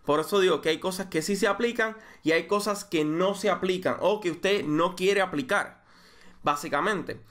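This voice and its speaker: A young man with a Puerto Rican accent explaining a photo-editing tutorial, measured and full of small fillers and restarts. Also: high voice, a bit bright. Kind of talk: screen-recorded software tutorial.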